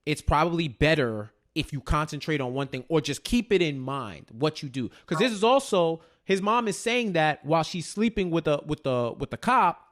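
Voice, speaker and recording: A man with a somewhat high-pitched voice chats as co-host of a TV recap. His speech is casual and slangy. He repeats phrases while forming a thought and often tags his points with a quick check-in. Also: animated, a bit bright. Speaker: podcast co-host.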